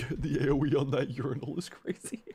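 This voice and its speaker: A man's voice: medium pitch, brisk, gravelly, dark tone, husky, no echo. A man chatting casually on a gaming livestream and reading game notes aloud, rambling, easily sidetracked and stumbling over words. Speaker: live streamer.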